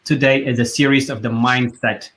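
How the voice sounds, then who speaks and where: A man hosting a live online talk show, speaking earnestly with halting phrases and fillers. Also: mid-range voice, fairly even intonation, fairly bright tone, a little hiss or hum. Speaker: host.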